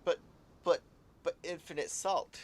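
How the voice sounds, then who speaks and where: A man giving casual, halting live commentary on a game, musing aloud and trailing off into asides. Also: a little high, fairly even intonation. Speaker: game streamer. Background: faint game music.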